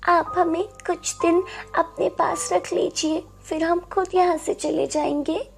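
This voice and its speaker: A woman voicing an earnest, polite young girl in an animated moral story, very high-pitched and creaky. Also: slow pace, exaggerated voice. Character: brave, polite young orphan girl with little sister.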